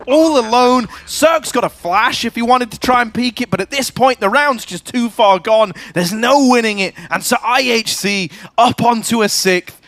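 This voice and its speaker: High-pitched man calling an esports match. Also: moderate pace, hardly any pauses, fairly bright tone, no echo. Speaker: esports commentator. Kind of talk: live play-by-play and conversational analysis. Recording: faint background noise, clicks.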